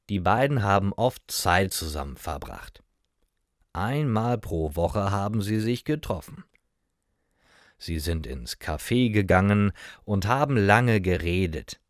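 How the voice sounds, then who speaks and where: A man's voice: low voice, no echo, studio quality. A man reading a simple scripted story as a narrator for beginner language learners, in short, careful sentences. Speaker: narrator of German learning stories.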